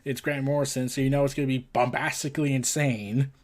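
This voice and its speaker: A man hosting a casual entertainment news roundup, rambling and halting, often tripping over his words and losing his thread. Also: medium pitch, flat delivery. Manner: scattered, halting, often tongue-tied and losing track. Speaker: host of a comics and entertainment news show.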